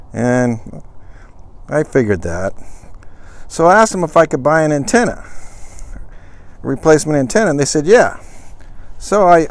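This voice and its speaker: A man's voice: fairly high pitch, dry recording. A man from Southern California giving a casual, folksy how-to walkthrough of his truck camper, rambling and correcting himself as he goes. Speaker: vlogger.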